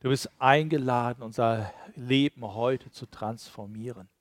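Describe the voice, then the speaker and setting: A man's voice: medium pitch, a little slow, no echo, studio quality. A man interpreting a sermon live, rendering it phrase by phrase after the preacher.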